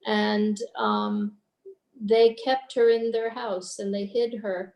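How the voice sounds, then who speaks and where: A woman's voice: medium pitch, fairly even intonation, slow, darkish tone, roomy sound, low-quality recording. A woman in a book club telling a family story in a casual, rambling way over a video call. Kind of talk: casual online group discussion.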